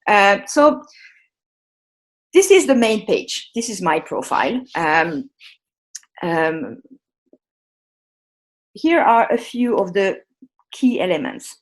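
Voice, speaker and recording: Woman, online workshop presenter, friendly and halting, video-call mic. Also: mid-range voice. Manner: hesitant, many ums and restarts, friendly instructional. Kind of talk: live online workshop on a shared screen.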